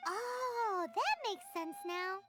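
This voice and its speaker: A woman voicing a small, chirpy, curious fairy companion with a squeaky childlike voice in an anime video game. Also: takes it slowly, loud music behind the voice. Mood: concerned, curious. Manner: refers to self in third person, exclaiming.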